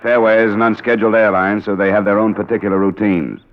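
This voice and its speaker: A man's voice: lowish voice, steady tone, fast, natural voice. An American man playing a cool, world-weary insurance investigator in an old radio crime drama. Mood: pressing. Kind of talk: 1940s-50s radio detective drama acting. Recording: dull old radio broadcast recording.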